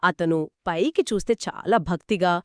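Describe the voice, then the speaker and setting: A woman's voice: on the low side, moderate pace, darkish tone, dry recording, studio quality. A woman telling a moral story conversationally to a co-host, explaining each step expressively and affirming as she goes.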